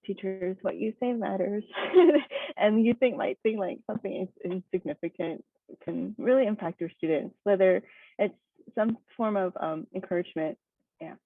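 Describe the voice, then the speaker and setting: A young woman from Hawaii talks casually to a group of educators, filling her speech with "um" and "like" and light laughs. Her voice sits at a middle pitch and comes through a thin, phone-like video call connection with no room sound. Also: normal speed, vocal fry, dry recording. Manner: chatty, many fillers and laughs. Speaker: guest speaker. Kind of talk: casual personal talk. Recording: dry tinny video call audio.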